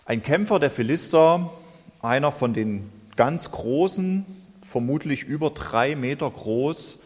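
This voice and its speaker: Slow, deliberate German man preaching. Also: medium pitch, animated, darkish tone, breathy edge. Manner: slow, deliberate, thoughtful delivery. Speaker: lay preacher. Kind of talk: sermon. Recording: reverberant hall.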